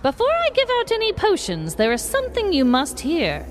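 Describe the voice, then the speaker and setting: Old witch shopkeeper: highish, hugely animated, theatrical, sly warnings, Halloween skit over clear background noise. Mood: ominous warning. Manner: theatrical, drawn-out, cautioning. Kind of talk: scripted Halloween podcast skit.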